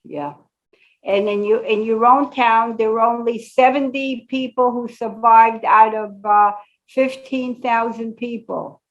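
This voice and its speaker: A woman's voice: a little high, fairly even intonation, measured pace. An older woman interviewing a guest, asking her questions hesitantly and searching for words with many fillers. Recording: slightly dull, muffled sound.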